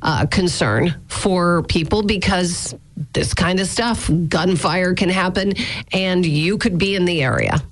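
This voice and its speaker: A woman's voice: lowish voice, measured pace, slight background noise. A woman hosting radio, giving a conversational update on local news. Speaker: radio host.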